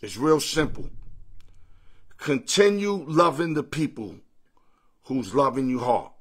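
A middle-aged man with a hoarse, strained voice, hosting a casual sports picks livestream from his home, greeting viewers by name in easygoing slang. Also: medium pitch, sing-song, takes it slowly, fairly bright tone. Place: home room full of sports memorabilia with a TV. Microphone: phone or webcam at arm's length.

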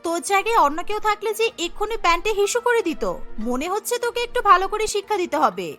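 A bold young village bandit woman, played by a female cartoon voice artist, speaks in a very high, bright voice. She is defiant and earnest, with faint music behind her. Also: sing-song, quick pace, full character voice. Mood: menacing.

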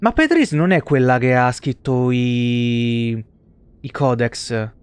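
A young man doing casual, animated live game commentary in slangy language with frequent swearing. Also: mid-range voice, slow, clear, resonant tone, no echo. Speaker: game streamer.

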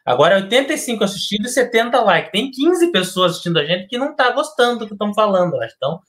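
Host, man in his 30s, bright animated voice with a slightly high pitch, jokey with the audience. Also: very fast. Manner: joking, lively, addressing viewers. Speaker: interview host. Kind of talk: casual live-stream interview.

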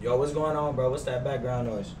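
A man's voice: mid-range voice, fairly even intonation, brisk, echoey room, far from the mic, crowd noise. A young man streaming casual game roleplay on a headset, talking in slangy street-hustler style. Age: young adult. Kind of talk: casual in-character GTA roleplay chat. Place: indoors at a gaming setup.

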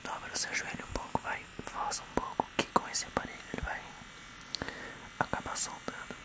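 A man in his thirties with a Brazilian accent, speaking softly and unhurriedly in an ASMR role-play as a physiotherapist addressing the listener. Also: rough recording. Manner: soft, unhurried, hushed.

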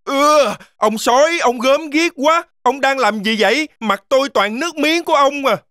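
A woman's voice: high voice, normal speed, fairly bright tone, dry recording, clean studio sound. A woman voicing a timid, distrustful little boy goat in a children's cartoon, anxious and indignant. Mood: disgusted.

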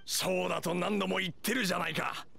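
A man's voice: high voice, fairly even intonation, a little fast, gravelly, sharp tone, exaggerated voice. A man voicing a skeptical, blunt village hunter in an anime game. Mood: exasperated. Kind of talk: Japanese anime game voice acting.

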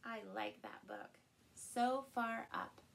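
A middle-aged woman reads a picture book aloud to small children in an expressive, playful way, recorded indoors by a camera a short distance in front of her. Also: on the high side, gravelly, a little hiss or hum. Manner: animated, slow, with playful sound words and exclamations. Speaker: storyteller and channel host. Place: small furnished room indoors.

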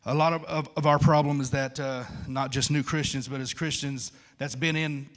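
A man's voice: medium pitch, fairly even intonation, normal speed, a bit bright. A man, preaching an informal, conversational sermon and repeating phrases for emphasis. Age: 50s. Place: large church stage at a wooden pulpit.

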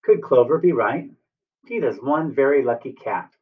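A man's voice: mid-range voice, lively intonation, moderate pace, breathy edge. An American man in his fifties reads a picture book to young children like a teacher. He explains things simply and puts questions to the listeners. The sound is a little distant and dull, as from a webcam. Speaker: teacher reading aloud. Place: room at home, indoors. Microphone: computer webcam at arm's length or more.

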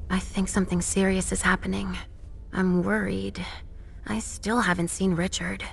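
An actress playing a troubled, tender vampire seer mother in a video game, speaking in a hesitant, halting way. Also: medium pitch, level tone, gravelly, husky. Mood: worried. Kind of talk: dramatic game cutscene acting.

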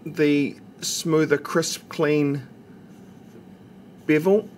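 A man's voice: on the high side, very slow. A man giving a casual, instructional narration, explaining carefully step by step as the presenter of a tutorial. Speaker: knife maker presenting a tutorial. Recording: steady background noise, homemade recording. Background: noticeable background noise.

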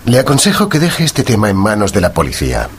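An actor playing a cool, self-assured gentleman detective in a radio drama, precise, formal and dismissive. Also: lowish voice, wildly swooping pitch, quick pace, a little hiss or hum. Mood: politely dismissive. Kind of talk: Spanish-language radio drama dialogue.

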